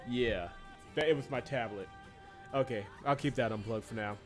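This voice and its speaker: An easygoing man chats to his viewers through a desk mic while he plays. His pitch sits in the middle for a man, and he breaks into laughs often. A soft game soundtrack runs quietly underneath. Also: moderate pace. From Georgia, US. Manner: chatty and animated, laughs often, reads game text aloud. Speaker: streamer. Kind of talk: casual game stream chat. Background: game soundtrack music.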